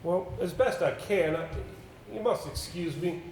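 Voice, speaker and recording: A man acting on stage as an anxious, reluctant gentleman with a hesitant, polite and formal way of talking. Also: sits high, measured pace. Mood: uneasy, reluctant. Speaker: amateur stage actor. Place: indoor theater stage. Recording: roomy, noisy live stage recording.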